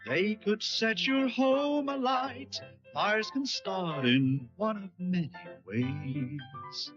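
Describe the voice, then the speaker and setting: A woman's voice: mid-range voice, drawn-out words. A woman delivering an instructive, rhyming safety jingle from a vintage public information film. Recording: dull vintage TV soundtrack. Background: music.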